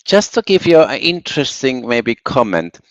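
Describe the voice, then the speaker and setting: A man's voice: mid-range voice, very animated, normal speed. A middle-aged or older man with an Icelandic accent, giving an informal travel presentation as a guest speaker. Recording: muffled, roomy online webinar audio.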